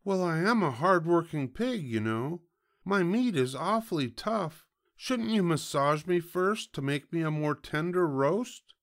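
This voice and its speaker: A man's voice: fairly high pitch, animated, measured pace, voice pushed up. A man voicing a small, polite young piglet with a wily, innocent-sounding manner in a children's storybook. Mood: sweetly wheedling. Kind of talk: children's storybook read-aloud.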